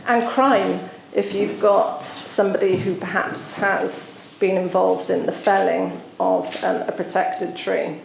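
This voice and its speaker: A woman's voice: medium pitch, steady tone, creaky, slight background noise. Woman, Welsh accent, solicitor giving a conference talk, echoey hall. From South Wales. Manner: explanatory, with frequent "um" pauses. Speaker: tree law solicitor presenting. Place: conference venue.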